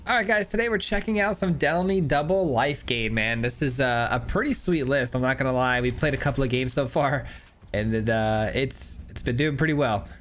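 A man's voice: medium pitch, moderate pace, a bit bright, a little hiss or hum. A young man giving upbeat, chatty commentary over a digital card game, thinking aloud with frequent restarts. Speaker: gameplay commentator. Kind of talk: casual gameplay commentary.

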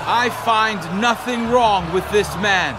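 A man's voice: high-pitched, slow pace. An actor playing an authoritative, exasperated Roman governor in a dramatized scripture, declaiming his judgments in a commanding way. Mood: questioning. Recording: loud music and crowd noise behind.

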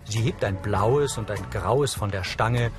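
A man's voice: fairly low pitch, a little slow. A man reading scripted narration as the audio describer of a TV episode. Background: soundtrack music.

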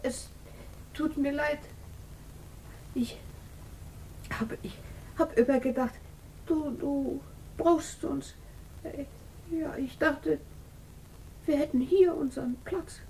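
An actress playing a gentle, childlike woman in a 1950s radio drama, pleading hesitantly and repeating her words. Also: sits high, takes it slowly, pauses often. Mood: hurt, subdued. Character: gentle, timid, childlike middle-aged sister, pleading and devoted. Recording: noisy 1950s radio recording.

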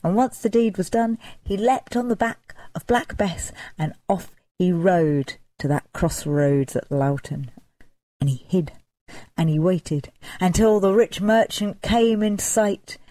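A woman storyteller narrating a folk tale dramatically, with expressive, deliberate emphasis. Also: lowish voice, no echo.

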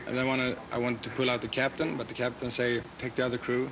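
Flat-voiced ship officer, man. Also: mid-range voice, quick pace. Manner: flat, hesitant with many fillers. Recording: noisy outdoor interview.

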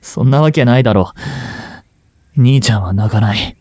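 A man in his twenties playing a remorseful, grieving young hitman in a voice drama, breathy and anguished. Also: mid-range voice, a little mellow, no echo, natural voice. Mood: gentle, holding back. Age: 20s. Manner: anguished, halting, intimate. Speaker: voice actor. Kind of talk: Japanese voice drama monologue, emotional acting.

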